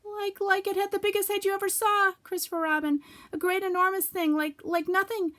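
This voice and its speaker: A woman's voice: very high-pitched, little pitch movement, brisk, bright, forceful tone, pitched above the performer's own voice. A woman voicing a small, timid male piglet in a storybook reading, breathless and stammering with fright.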